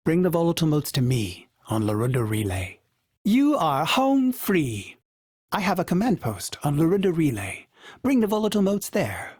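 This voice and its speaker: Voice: a little mellow, husky, no room sound. A performer voicing a polite, fussy male starship AI who speaks of himself in the third person, through a glitchy synthetic filter, with dry wit and a wistful tone. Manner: courteous, dry wit, sudden pitch jumps. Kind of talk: game character voice lines.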